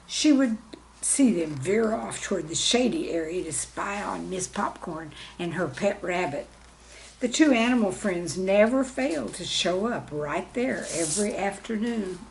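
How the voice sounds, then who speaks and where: Slow-paced woman telling children's stories. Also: medium pitch, a little mellow, a little hiss or hum, poor audio. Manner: simple, friendly address to young listeners. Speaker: children's storyteller. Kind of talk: gentle scripted storytelling for children.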